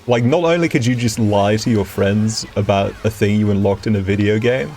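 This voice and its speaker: A man's voice: fairly low pitch, fairly quick, darkish tone, faint music behind. A young man who is not a native English speaker, chatting and laughing casually while playing a racing game with friends. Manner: chatty, laughs easily, reminiscing. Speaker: gamer streaming with friends. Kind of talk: casual gaming banter.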